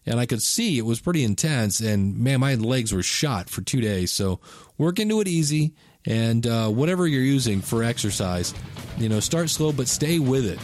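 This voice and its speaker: A man's voice: medium pitch, sing-song, normal speed, a little mellow, soft background music. A man in his fifties hosting a casual solo podcast, talking in a rambling, chatty way and correcting himself as he goes. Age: 50s. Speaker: podcast host.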